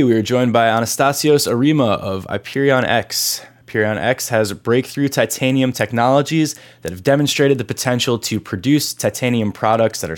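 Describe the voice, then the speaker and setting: A man hosting an interview show, reading a smooth scripted introduction and asking questions conversationally. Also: mid-range voice. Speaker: podcast host.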